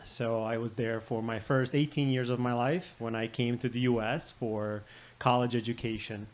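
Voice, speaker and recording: A man from Croatia, a patient guest on a health panel, telling his story briskly and matter-of-factly. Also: mid-range voice, level tone.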